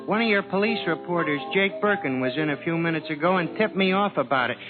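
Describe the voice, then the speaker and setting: A man's voice: a little high, animated, quick pace, natural voice. A man playing a chatty, folksy bartender in an old-time radio drama, slangy and long-winded with his gossip. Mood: eager, gossipy. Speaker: actor in a radio crime drama. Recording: tinny old radio recording, music behind.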